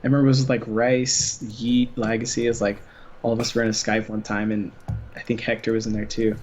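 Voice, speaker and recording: A man's voice: lowish voice, little pitch movement, fairly quick, a little mellow. A man guest-commentating on a gaming livestream, easygoing and rambling, laughing often between thoughts. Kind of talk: casual gaming livestream chat.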